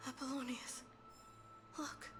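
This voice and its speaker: An actress playing an earnest, gentle young female warrior in a game, halting and heartfelt. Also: high-pitched, flat delivery, music in the background. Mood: weak, tender. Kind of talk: dramatic game voice acting.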